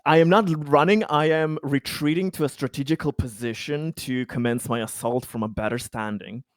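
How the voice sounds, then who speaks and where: A man's voice: medium pitch, normal speed, no room sound, clean studio sound. A man playing in a tabletop roleplaying game, chatting and bantering casually as one of the players.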